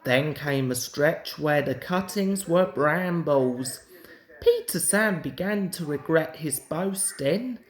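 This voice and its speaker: Slow, low-pitched woman reading a storybook. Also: expressive, reverberant. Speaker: storybook reader. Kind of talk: children's story narration.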